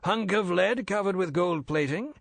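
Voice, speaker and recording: A man's voice: high-pitched, moderate pace, vocal fry, no echo, pitched above the performer's own voice. Man playing a clipped British army major. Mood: anxious. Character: British army major, outwardly breezy, inwardly anxious.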